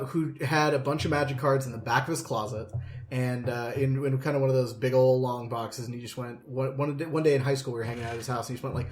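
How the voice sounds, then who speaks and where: Man, medium pitch, casual podcast chat, anecdotal storytelling, loose swearing. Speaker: podcast co-host.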